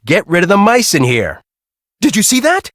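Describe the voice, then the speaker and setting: An actor playing a grumpy, bossy middle-aged storm-chasing professor in a video game, with an American accent and a brusque, sarcastic, exasperated manner. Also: male voice, high-pitched, wildly swooping pitch, normal speed, fairly bright tone, dry recording, clean studio sound, heavily acted. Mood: startled, exasperated. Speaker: video game character, research team leader.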